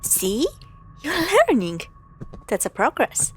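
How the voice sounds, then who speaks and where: A woman playing a caring, playful young girlfriend in a spooky audio roleplay, talking straight to her partner in halting conversational phrases with sighs. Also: mid-range voice, sing-song, slight background noise. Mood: playful, reassuring. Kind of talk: spoken roleplay to the listener.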